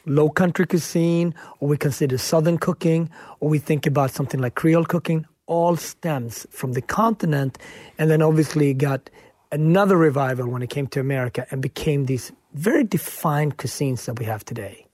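Earnest man, slightly high voice. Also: normal speed, a little mellow, dry recording. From Sweden. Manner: earnest, reflective, frequent "right?" tags. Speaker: chef and author, guest. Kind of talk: radio interview answers.

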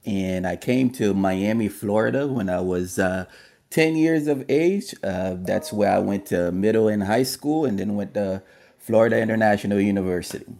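Male teacher from Haiti, very deep voice, pauses and fillers as he answers a radio host. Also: gravelly, darkish tone. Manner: hesitant, many fillers. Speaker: history and government teacher. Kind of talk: radio interview guest.

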